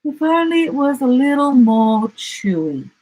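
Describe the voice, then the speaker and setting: Woman as a fluttery, high-voiced emu lady. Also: sing-song, measured pace, dark tone, exaggerated voice, poor audio. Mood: airy, fussy. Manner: fluttering, airy, sighing. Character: graceful, fluttery female emu.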